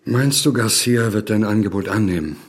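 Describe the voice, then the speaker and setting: An actor playing a concerned brother in a radio drama, questioning in a familiar, reassuring way. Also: male voice, fairly low pitch, normal speed, a little hiss or hum, lightly acted.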